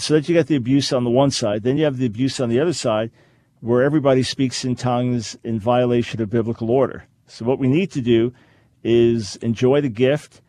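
A man hosting a call-in radio show, explaining his views to callers in a measured way and repeating phrases for emphasis. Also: mid-range voice, dark tone, dry recording. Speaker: radio talk show host. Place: radio studio. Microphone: studio microphone.

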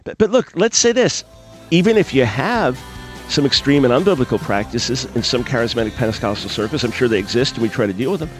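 A man's voice: animated, a little fast, music in the background. Passionate preacher-style male radio host. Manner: passionate, preacherly, pitch leaping up on emphasis. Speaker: radio talk show host. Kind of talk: call-in radio commentary.

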